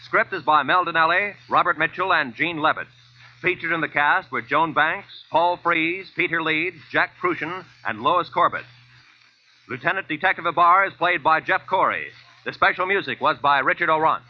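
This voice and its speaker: A man's voice: on the high side, normal speed. An American man announcing scripted credits and programme notes in the style of 1940s network radio. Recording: muffled vintage radio broadcast with music.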